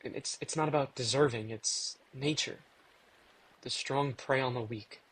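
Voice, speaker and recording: A man's voice: medium pitch, a little slow, fairly bright tone, breathy edge, poor audio. A man playing a brooding, guilt-ridden vampire in an audio drama, terse and dryly wry. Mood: bleak, wry. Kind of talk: immersive audio drama acting.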